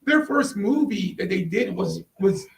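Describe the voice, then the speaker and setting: A man's voice: high-pitched, expressive, measured pace, gravelly. A man talking casually in a panel discussion, stressing his points by repeating phrases. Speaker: podcast panel host. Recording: roomy, distant from the mic.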